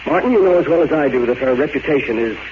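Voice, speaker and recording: An anguished older American father played by a male actor in a 1940s radio drama. He pleads and insists urgently, with a controlling streak. The recording is muffled, with clear noise over it. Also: mid-range voice, expressive, quick pace, close to an everyday voice. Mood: stern, worried. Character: agitated elderly wealthy father, controlling and anguished.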